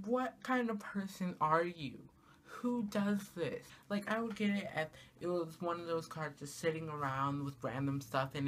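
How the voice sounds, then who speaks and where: A teenage girl vlogging from her small bedroom, talking casually to the camera and ranting in an exasperated way, her pitch jumping up on rhetorical questions. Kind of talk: casual comedic rant to camera. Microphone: camera in front of her, at arm's length.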